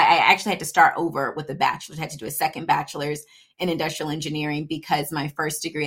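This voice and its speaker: A woman's voice: low voice, fairly bright tone. A woman in her thirties, a guest on an interview podcast, talking casually and saying "you know" often. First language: English. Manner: chatty, peppers speech with "you know". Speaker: podcast guest, supply chain consultant.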